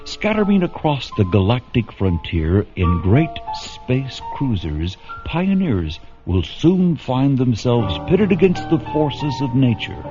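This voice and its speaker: An actor playing a solemn, portentous science fiction radio narrator, grave and deliberate in scripted narration. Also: male voice, mid-range voice, sing-song. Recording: dull radio recording over music.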